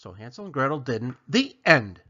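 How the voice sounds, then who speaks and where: A man reading a children's storybook aloud as narrator, in an expressive storytelling style. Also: medium pitch, normal speed, muffled recording. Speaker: storybook reader.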